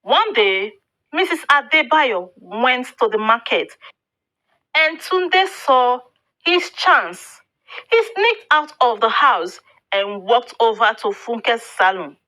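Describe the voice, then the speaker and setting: Slow, bright-voiced woman storyteller. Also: fairly high pitch, expressive, rough recording. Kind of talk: scripted folktale narration.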